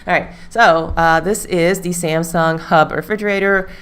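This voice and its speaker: A woman hosts a live stream in a loose, chatty way. Her voice sits low for a woman. She rambles and circles back with fillers as she talks. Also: steady tone, moderate pace, a bit bright, clear, resonant tone. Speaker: livestream host. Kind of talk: casual live-stream chat.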